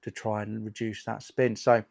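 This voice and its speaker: A man with a croaky voice from a cold, hosting a casual golf equipment review, chatty and reading out figures with lots of fillers. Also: lowish voice, level tone, normal speed, darkish tone. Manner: chatty, lots of "you know" and "um", reads out numbers. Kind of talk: casual product review talk.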